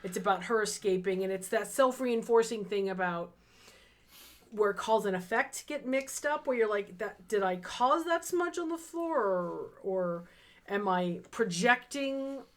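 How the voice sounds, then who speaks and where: A woman's voice: medium pitch, expressive, unhurried. A woman co-hosting a casual literary discussion, talking in a conversational, emphatic and opinionated manner. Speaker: co-host of a story reading livestream.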